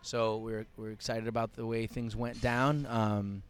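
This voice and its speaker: A man talking casually as a podcast guest, relaxed and joking, with many fillers. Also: fairly low pitch, unhurried, clean tone. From United States, Cuban family. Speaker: co-founder of a fitness festival, podcast guest.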